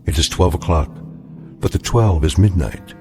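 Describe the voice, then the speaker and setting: An actor as the grave narrator of an eerie radio drama, measured and solemn in his delivery. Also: low voice, a little mellow, soft background music. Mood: ominous, solemn. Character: grave omniscient narrator of eerie doomsday tales.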